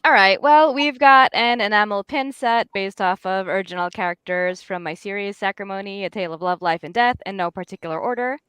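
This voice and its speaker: A woman with a very clear, smooth voice at a middling pitch, chatting informally about her own work. She comes across as chatty and self-deprecating, and the sound is close and dry. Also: a little fast, hardly any pauses, a bit bright, no room sound. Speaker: guest host and series creator.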